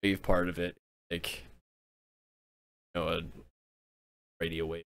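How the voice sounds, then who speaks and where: Deep-voiced rambling American streamer guy. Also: flat delivery, slow, vocal fry, a bit bright. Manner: rambling, self-interrupting, chatting with viewers. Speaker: livestreamer. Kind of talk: casual gaming livestream chat.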